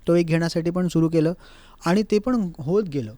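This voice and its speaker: A man's voice: on the high side, a little fast, mellow, dry recording. A young man, answering interview questions conversationally as a guest singer and slipping in English phrases. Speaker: guest vocalist being interviewed. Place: recording studio.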